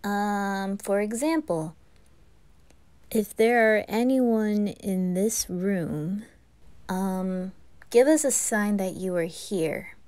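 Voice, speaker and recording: A woman acts out a knowing young anime boy with a cool temper. He talks slowly at a medium pitch. His explanations are calm, measured and a little hesitant, as in a fan roleplay.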